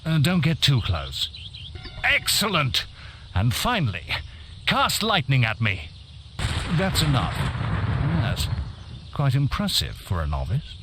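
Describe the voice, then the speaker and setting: Slow, commanding wizard mentor. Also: male voice, mid-range voice, huge pitch swings, noisy background. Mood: stern, measured. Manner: measured, formal, commanding. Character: commanding male wizard mentor, stern, coolly superior. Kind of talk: fantasy video game acting.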